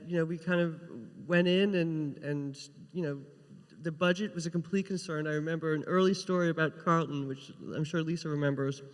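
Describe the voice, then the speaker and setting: Female TV writer, panel anecdotes, long hall reverb. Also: low voice. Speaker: television writer, panel guest.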